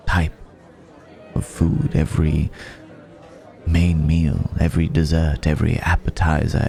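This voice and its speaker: An extremely low, mellow male vampire played by a man murmurs in an intimate ASMR roleplay, with soft music behind him. He is centuries old, urbane and quietly predatory, with a gravelly creak. His delivery is measured and reflective, lingering in the pauses. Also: heavily acted. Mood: musing.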